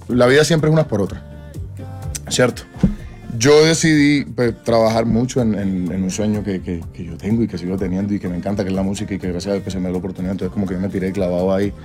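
A young Colombian man in his twenties speaks in a fairly low voice, loose and slangy, circling back on his words as he answers. Soft music runs faintly underneath. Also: fairly even intonation, fairly quick. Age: 20s. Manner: slangy, rambling, full of fillers and restarts. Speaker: singer being interviewed. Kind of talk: casual interview conversation.

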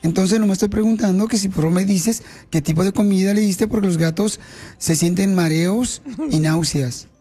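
A woman's voice: mid-range voice, moderate pace, warm tone, breathy edge, soft background music, lightly acted. A Mexican woman playing an indignant, mock-serious go-between for mistreated cats in a radio prank call, insistent and mock-polite. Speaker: radio show prank caller. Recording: noisy radio phone-prank recording.

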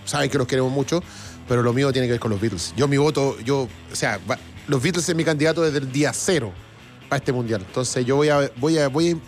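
A man's voice: mid-range voice, fast talker. A Chilean man hosting a live music show in casual chat, often repeating phrases for emphasis and reading out comments.

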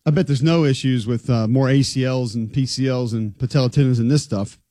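A man's voice: mid-range voice, a little fast, dark tone, dry recording, studio quality. A man co-hosting a casual sports talk show, joking wryly and reading facts aloud. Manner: wry, joking, reads stats aloud.

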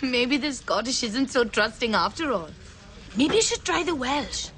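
A woman's voice: on the high side, sing-song, normal speed, a bit bright, slight background noise. A woman in a radio comedy sketch, speaking in a dry, teasing way.

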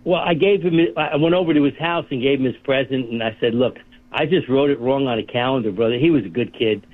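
A man's voice: mid-range voice, fast talker. A man in his eighties calling in to a radio talk show, chatty and telling stories with laughs. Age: 80s. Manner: chatty storyteller, laughs at his own tales. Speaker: caller. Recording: phone line.

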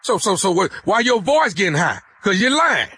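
A man's voice: sits high, sing-song, moderate pace, noisy background. A man playing a pushy, aggrieved night-shift worker on a prank phone call, stammering and repeating himself confrontationally.

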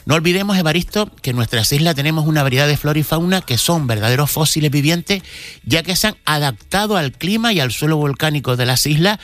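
A man's voice: on the high side, animated, fast, fairly bright tone. Man telling history on radio. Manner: storytelling with frequent restarts. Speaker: cultural commentator. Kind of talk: radio segment on local history.

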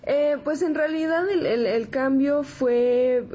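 A woman's voice: high voice, slow, dark tone. A woman in her twenties with a Mexican accent, explaining herself conversationally as an interview guest. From San Antonio, Texas, United States. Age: 20s. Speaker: interview guest, accordion musician. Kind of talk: casual interview chat. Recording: dull audio with background ambient noise.

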